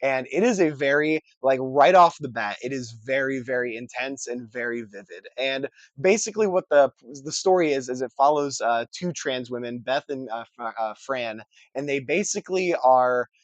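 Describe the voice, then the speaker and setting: A young man, hoarse and scratchy from a cold, chatting casually and upbeat as a book vlogger. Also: moderate pace, hardly any pauses, dry recording, dull audio. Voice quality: scratchy, deeper than usual. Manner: chatty, upbeat, many uh fillers and restarts. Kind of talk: casual chat to camera.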